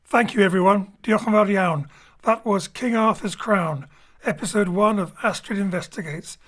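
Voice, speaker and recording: Woman hosting, medium-pitched voice. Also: normal speed, faint music behind. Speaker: host. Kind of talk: live announcement and chat.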